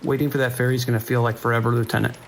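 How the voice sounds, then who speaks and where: A man acts out an anxious male police dispatcher for an audio drama. His gravelly voice sits at a middling pitch, giving clipped, urgent radio instructions and hesitating when shaken. Faint rain and ambience run behind him. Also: level tone, very fast, background noise, close to an everyday voice. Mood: tense, worried.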